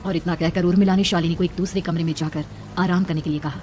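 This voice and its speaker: A woman's voice: on the low side, steady tone, fast, a little mellow, slight background noise. A woman narrating a scripted horror cartoon story in a dramatic storytelling style.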